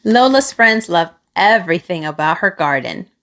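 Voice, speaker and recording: A woman reading a children's picture book aloud, with expressive, deliberate phrasing aimed at young listeners. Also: fairly low pitch. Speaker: children's storybook reader.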